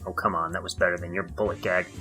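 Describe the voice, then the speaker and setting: A man playing a dour police detective with a husky, forceful voice, needling with dry sarcasm in a noir comedy audio drama with music behind. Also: sits low, level tone, fast talker. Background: background music.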